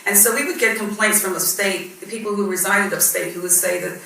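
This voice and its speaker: Gravelly-voiced woman in an echoey hall. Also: medium pitch, steady tone, brisk, pushed and bright. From born in Guyana, raised in Barbados. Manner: earnest and reflective, with frequent ums. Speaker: judge on a panel. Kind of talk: personal story at a panel discussion. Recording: echoey hall, mic at a distance, faint noise.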